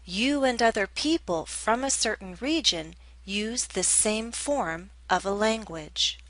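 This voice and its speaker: An American woman with a General American accent gives a scripted English lesson in a clear, measured way. Her voice sits at a middle pitch. The recording is dry and close. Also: lively intonation, fairly bright tone, no room sound, rough recording. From United States. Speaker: English teacher.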